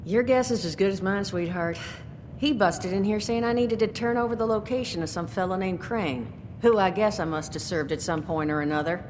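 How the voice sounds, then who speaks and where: A woman's voice: medium pitch, brisk, a little mellow, slight background noise, natural voice. An actress voicing a world-weary, unflappable woman who runs a bar, drawling and calling people sweetheart and darling. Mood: wry. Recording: reverberant game mix with music.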